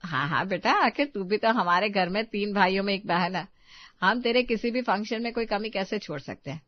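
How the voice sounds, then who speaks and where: A woman's voice: medium pitch, expressive, fairly quick, no room sound, dull audio, lightly acted. A woman playing a caring, plain-spoken middle-aged mother in a Hindi moral story cartoon. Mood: reassuring.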